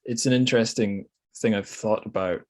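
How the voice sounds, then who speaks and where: A man with a slightly low voice hosts a bookish podcast. He talks casually and thoughtfully, feeling his way through ideas and rephrasing mid-sentence. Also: fairly even intonation, normal speed. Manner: hesitant, reflective, self-correcting. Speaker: podcast host. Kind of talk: casual literary podcast discussion.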